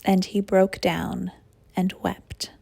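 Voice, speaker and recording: A woman reading scripture aloud in a hushed, slow way at a church service. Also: mid-range voice, level tone, gravelly, slightly rough. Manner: hushed, trailing to a whisper. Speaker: scripture reader.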